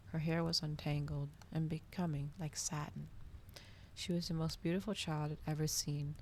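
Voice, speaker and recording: A soft-spoken woman reading a story and chatting to viewers in a cozy ASMR style, often slipping toward a whisper, close to the microphone. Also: deep voice, vocal fry, a little hiss or hum. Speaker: streamer reading aloud to viewers.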